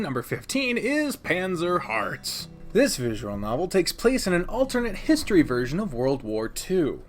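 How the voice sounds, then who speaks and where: Animated, sweary man giving casual commentary. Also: a little high. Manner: irreverent, sweary, exclamatory. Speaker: video game reviewer and host of a ranking video. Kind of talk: casual commentary reviewing visual novels.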